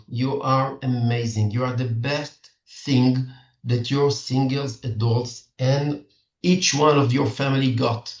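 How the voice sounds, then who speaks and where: A middle-aged man with an Israeli accent gives an earnest, halting lesson to parents and often restarts his sentences. Hebrew is his first language, so his English phrasing is careful. He sounds distant and roomy on a muffled video call. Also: mid-range voice. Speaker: dating coach for singles.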